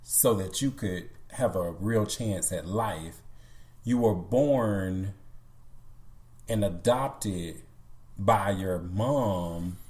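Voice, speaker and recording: Unhurried, mellow-voiced male host. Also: low-pitched. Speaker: podcast co-host. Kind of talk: interview questions.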